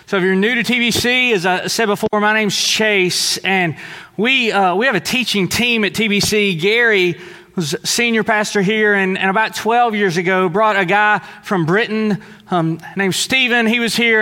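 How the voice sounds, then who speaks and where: A man's voice: high-pitched, pushed and bright. A man from Southeast Texas preaching a sermon in a loose, conversational way in a church sanctuary. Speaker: pastor. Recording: long reverb of a large church hall.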